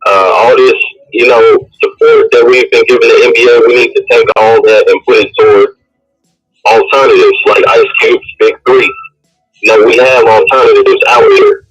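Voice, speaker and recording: A man's voice: medium pitch, big pitch swings, moderate pace, fairly bright tone, faint music behind. A man calling in to a radio show, speaking in an earnest, direct way. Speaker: caller. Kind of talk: phone-in comment. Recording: muffled phone line.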